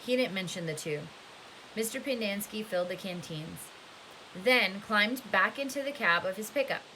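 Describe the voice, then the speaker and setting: A woman narrating a novel aloud in an expressive storybook style, with a faint hiss in the recording. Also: mid-range voice, moderate pace, rough recording.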